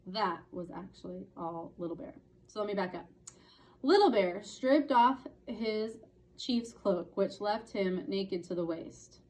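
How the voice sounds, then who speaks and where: A woman narrating a children's book expressively, with deliberate, dramatic phrasing. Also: medium pitch, lively intonation, darkish tone. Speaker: read-aloud storyteller.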